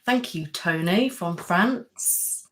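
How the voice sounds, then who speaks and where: A young woman with a low voice chats casually with her livestream viewers, thanking them by name. She talks in a friendly, rambling way, with plenty of "um" and "literally", and sounds worn out. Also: animated, very slow. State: tired from a house move. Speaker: ASMR channel host. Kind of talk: casual live-stream chat reading comments.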